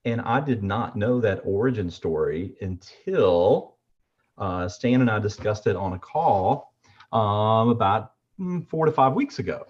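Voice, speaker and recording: A man with a low voice chats on a video call, and the sound is dull and muffled. He talks freely, with plenty of fillers along the way. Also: animated, a little slow. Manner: chatty and praising, with many fillers. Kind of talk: casual video call chat.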